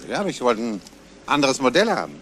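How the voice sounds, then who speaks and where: An evasive schoolteacher under suspicion. His delivery swings up and down as he hesitates and stammers. It is a crime radio drama with clear outdoor noise behind him. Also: mid-range voice, big pitch swings, fast talker, fairly bright tone. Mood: evasive, defensive. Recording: noisy outdoor ambience behind dialogue.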